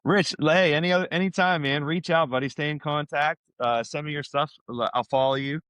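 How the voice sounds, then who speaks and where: A man's voice: on the high side, fairly even intonation, a little fast, fairly bright tone, smooth, no room sound. A middle-aged American man in casual podcast chat, talking in an informal, buddy-like way. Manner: informal, buddy-like, peppers talk with "man" and "dude".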